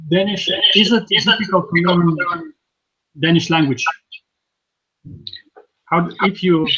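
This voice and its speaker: A man's voice: on the high side, sharp tone. A man moderating a webinar over a video call, asking questions casually. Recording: dull lo-fi video call, faint noise.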